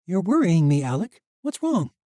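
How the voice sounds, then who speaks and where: Audiobook: male reader as a raw, fiery young woman, high and mellow-edged, lively pitch. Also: no room sound, studio quality. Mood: worried. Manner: sharp, pleading, quick to anger. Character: fierce grieving young woman warrior. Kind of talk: audiobook character voice.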